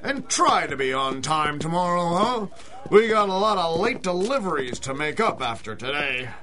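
An actor playing a bossy working-man foreman, briskly chivvying his crew in a 1930s-style radio adventure. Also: on the high side, sing-song, crowd noise. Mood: impatient, bossy.

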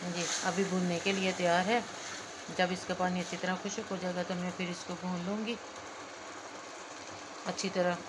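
A woman's voice: lowish voice, little pitch movement, moderate pace, long pauses. Woman narrating her home cooking. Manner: chatty, talks viewers through each step. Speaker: cooking channel host. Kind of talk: casual cooking vlog narration. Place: home kitchen, indoors. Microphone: phone camera held over the pot. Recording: noisy phone recording with kitchen hiss.

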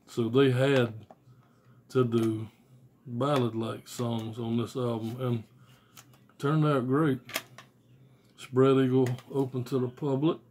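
An American man in his forties talks casually in a small room at home. His voice sits at an average pitch, and his delivery is blunt, slangy and profane. The phone recording carries faint noise and clicks. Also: a little mellow. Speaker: music fan reviewing albums on video. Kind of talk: casual unscripted vlog chat. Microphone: phone or tablet at arm's length.

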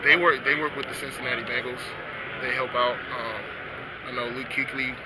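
A young man in his twenties answers questions at a busy event, soft-spoken and halting, with frequent restarts. His voice stays flat and level. Loud room noise fills the background. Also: mid-range voice. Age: 20s. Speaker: football prospect. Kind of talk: press interview answers. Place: busy indoor event hall.

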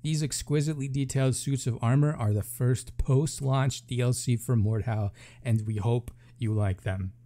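A man's voice: mid-range voice, fairly even intonation, normal speed, warm tone. A man giving casual live reaction commentary as a gaming video creator, thinking aloud informally as he reads along. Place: room at home.

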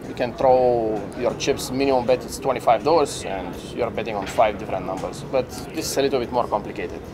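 A man in his thirties, a casino host, patiently explaining a table game in halting, non-native phrasing. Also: medium pitch, noisy background. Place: casino floor on a cruise ship.

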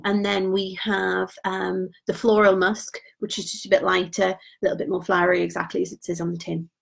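A woman, chatting casually on a live stream about gift sets, her voice going hoarse. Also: medium pitch, little pitch movement, brisk. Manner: chatty and informal, trails off quietly. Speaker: beauty product consultant.

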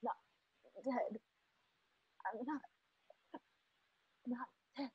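A barely alive frontier mother, gasps very slowly in a high, breathy rasp. She is faint but defiant, in a close, dry radio western performance. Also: no room sound, highs cut off, full character voice. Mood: desperate, dazed. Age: young adult. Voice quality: raspy, breathy. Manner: halting, faint gasped words. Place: home room on a video call.

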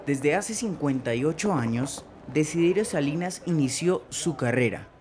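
A man's voice: a little high, moderate pace. A man reading a scripted news feature narration. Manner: steady storytelling read. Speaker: student reporter. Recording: background music.

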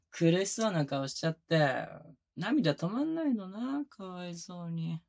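A man playing a cold, sadistic detective, one of twins, condescending and menacing in a breathy, husky voice for a close-miked ASMR drama. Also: sits high, a little mellow, heavily acted. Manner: condescending, coaxing then commanding. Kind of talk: Japanese situation voice drama, binaural ASMR. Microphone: close binaural microphone.

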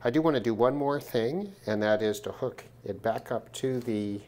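A man giving an informal hands-on gear demonstration, thinking aloud in a measured way as he goes. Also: mid-range voice, a little mellow. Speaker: hobbyist demonstrating a shortwave radio test. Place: indoors at a work desk.

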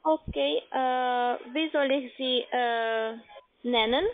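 A woman's voice: high voice, slow pace. A woman working as a call-center agent, questioning insistently and repetitively in a phone conversation. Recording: thin narrow telephone line.

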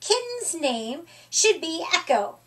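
A woman's voice: extremely high pitch, very animated, takes it slowly, voice pushed up, heavily acted. A woman voicing a roly-poly little mummy boy from a children's storybook, contrary, eager and emphatic. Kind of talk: children's storybook character reading.

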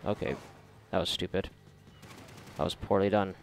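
A man giving casual, reactive commentary while gaming, sarcastic and foul-mouthed, with a gravelly voice. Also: sits low, steady tone, moderate pace, soft background music. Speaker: gamer recording a playthrough. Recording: noisy, game gunfire behind voice. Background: video game gunfire and ambience.

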